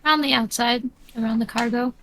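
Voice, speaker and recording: A woman's voice: fairly high pitch, steady tone, a little fast, pushed and bright, a little hiss or hum, poor audio. A woman running a tabletop role-playing game as game master, explaining rules and describing scenes in a matter-of-fact way.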